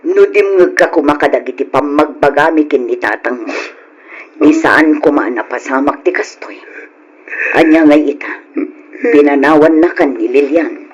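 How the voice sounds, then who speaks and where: An older mother in an old radio drama. Her voice is low and husky, and she admonishes and laments in exasperation, with care underneath. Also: normal speed, muffled recording. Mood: scolding, lamenting. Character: older scolding mother, exasperated but caring.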